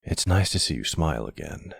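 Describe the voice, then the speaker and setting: Man acting a deep, gravelly mafia boss. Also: lively intonation, a bit bright, no room sound, clean studio sound, exaggerated voice. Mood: tender, relaxed. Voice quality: low, gravelly. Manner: unhurried, affectionate, measured. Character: tender silver-fox mafia boss, older man, calm and devoted. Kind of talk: intimate boyfriend roleplay audio drama.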